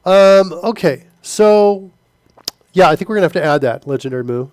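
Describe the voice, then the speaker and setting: A man's voice: high voice, lively intonation, normal speed, darkish tone, dry recording. Stuffy-nosed man chatting while coding. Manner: loose and filler-heavy, answers chat as he works. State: stuffy nose. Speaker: live coding streamer. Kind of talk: casual live-stream chat while coding.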